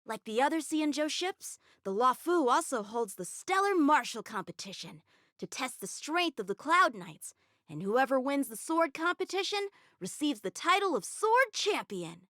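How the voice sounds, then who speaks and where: A woman's voice: high-pitched, sing-song, normal speed, pushed and bright, no echo, studio quality, exaggerated voice. A woman voicing an eager, earnest teenage boy swordsman in a game, talking in a boyish, cheerful way. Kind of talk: game character voice lines.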